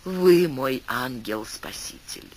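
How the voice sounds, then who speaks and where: Man playing a plaintive, humble fisherman, lively pitch. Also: takes it slowly, slightly rough. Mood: grateful. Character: poor despairing fisherman, humble, naive and grateful.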